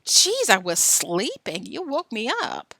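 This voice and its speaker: Lady doing a sleepy grumpy kid just woken up, brushing it off, bright tone. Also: a little high, huge pitch swings, takes it slowly, pitched above the performer's own voice, full character voice. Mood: grumpy, drowsy. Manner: drowsy and dismissive. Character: sleepy, grumpy young boy.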